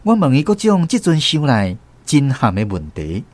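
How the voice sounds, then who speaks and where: 語調活潑的台灣腔男主持人. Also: male voice, medium pitch, normal speed, no echo. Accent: Taiwanese. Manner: expressive storytelling. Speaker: radio host and narrator. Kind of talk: scripted narration.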